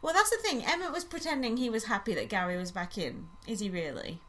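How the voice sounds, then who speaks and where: A woman co-hosting a casual podcast, talking fast-flowing and mocking, often breaking into laughter. Her voice is bright and lively, rising and falling a lot as she gossips. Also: on the high side. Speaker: podcast co-host. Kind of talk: casual chat recapping a reality show.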